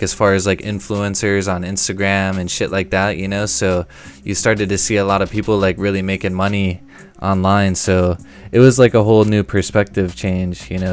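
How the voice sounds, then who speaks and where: An American man in his late twenties chatting casually and slangily as host of a live stream. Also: low voice. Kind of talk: casual chat.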